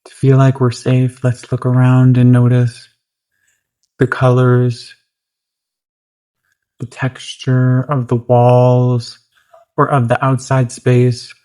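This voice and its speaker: Meditation guide: mid-pitched, very flat delivery, gentle and soothing, unhurried with roomy gaps between phrases. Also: clean tone.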